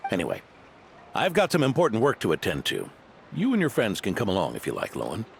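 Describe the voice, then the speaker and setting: An actor playing a hearty, grandfatherly old knight captain in a video game, with a gravelly voice. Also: lowish voice, wildly swooping pitch, quick pace, slight background noise, full character voice. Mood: assured. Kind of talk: dramatic game voice acting.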